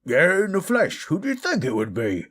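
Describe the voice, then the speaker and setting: A gruff veteran orc warrior acted by a man from a fantasy audio roleplay. He talks bluntly and colloquially in a teasing, good-humoured way, his pitch rising and falling freely. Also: huge pitch swings, normal speed, a bit bright, heavily acted. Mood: amused, boastful.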